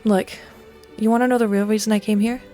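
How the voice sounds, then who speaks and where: A woman playing an earnest, remorseful high school girl with a halting, confessional manner in an audio drama. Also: fairly even intonation, warm tone, natural voice. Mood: guilty, earnest. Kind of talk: podcast audio drama acting. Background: music.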